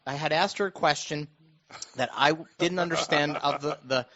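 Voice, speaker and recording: A man's voice: normal speed, sharp tone. A man chatting casually about politics in an earnest, explanatory manner, repeating himself for emphasis. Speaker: radio show host and school board member. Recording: dull, muffled low-fidelity audio.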